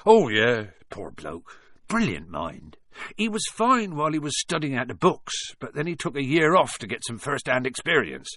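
A man voicing a huge, kindly giant with a rough, homely way of talking. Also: medium pitch, big pitch swings, moderate pace, fairly bright tone, no room sound, studio quality. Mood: cheerful. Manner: homely, rough-edged and friendly.

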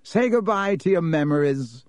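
A man voicing a vain, treacherous wizard teacher, speaking in a pompous, drawn-out way. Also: a little high, lively intonation, a little mellow, no echo, voice pushed up, close to an everyday voice. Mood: smug.

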